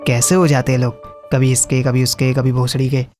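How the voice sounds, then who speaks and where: A man voicing an excitable, indignant, foul-mouthed young friend in a comic audio drama, ranting and accusing. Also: mid-range voice, a little fast, music in the background, voice pushed down, natural voice. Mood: sardonic.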